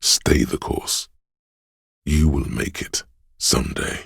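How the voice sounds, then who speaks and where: A man voicing a steadfast inner voice of willpower in a video game, a stern yet encouraging mentor with a measured, solemn delivery and a gravelly, husky tone. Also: rumbling low, level tone, a bit bright, no room sound, clean studio sound, exaggerated voice. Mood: steadfast encouragement. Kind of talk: scripted game narration, second-person counsel.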